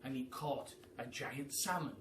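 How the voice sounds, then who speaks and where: A man's voice: medium pitch, measured pace, background noise. A man reading a children's story aloud in the manner of a primary school storyteller. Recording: roomy echo, far from mic.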